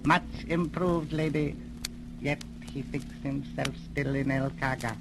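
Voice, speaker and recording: An actor in a 1930s radio drama playing a deferential, soothing servant with a measured, formal manner. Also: male voice, mid-range voice, fairly even intonation, creaky. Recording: noisy old 1930s radio recording.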